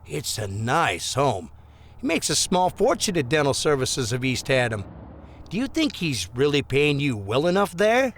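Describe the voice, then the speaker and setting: Crooked small-town cop played by a male actor: higher-set, very animated voice, smooth bully, mock-friendly pressure, audio drama. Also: normal speed, exaggerated voice. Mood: sly persuasion.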